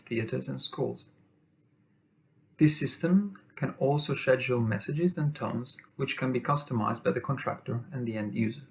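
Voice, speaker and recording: A man's voice: mid-range voice, gravelly. Man narrating a corporate product video. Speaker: company product presenter.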